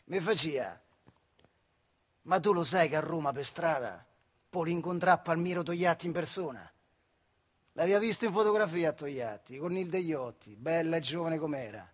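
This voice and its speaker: Nostalgic factory worker, solo stage storyteller, high voice, central Italian Marche accent, wry and colloquial. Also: male voice, normal speed, husky. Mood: fond, wry. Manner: colloquial, acting out dialogue. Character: working-class factory man telling nostalgic, wry stories of his comrade. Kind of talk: theatrical monologue storytelling in dialect.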